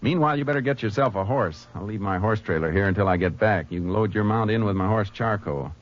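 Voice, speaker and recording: An American actor playing a steady, practical Texas Ranger in a 1950s radio western, speaking and narrating in a laconic, matter-of-fact way. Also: male voice, lowish voice, fast, close to an everyday voice. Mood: practical, decisive. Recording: muffled 1950s radio recording.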